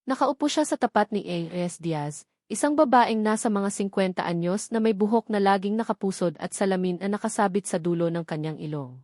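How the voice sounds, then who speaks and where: Filipina lady narrating a love drama story, medium pitch, dry close sound. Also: fairly quick, darkish tone, no echo.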